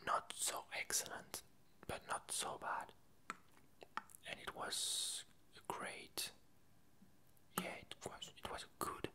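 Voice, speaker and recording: Slow, whispered ASMR rambling. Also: bright, forceful tone. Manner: hesitant, unhurried, meandering. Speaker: ASMR channel host.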